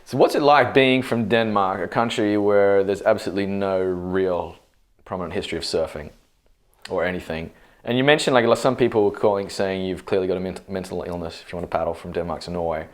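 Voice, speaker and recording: Deep male podcast host, chatty and curious, free with his opinions. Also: a little fast. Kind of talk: casual interview.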